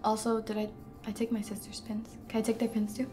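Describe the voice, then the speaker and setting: A woman's voice: a little high, monotone, fairly quick. A young woman playing a tabletop roleplaying game, speaking casually. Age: young adult. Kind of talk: casual, reacting to play. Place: indoor studio set, seated at a table. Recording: clear music behind the voice.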